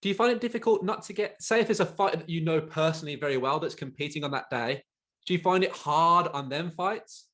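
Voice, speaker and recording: Podcast host guy, fairly high voice, keen, lots of little "yeah"s. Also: fast, a bit bright. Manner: eager, short affirmations. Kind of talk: casual interview chat.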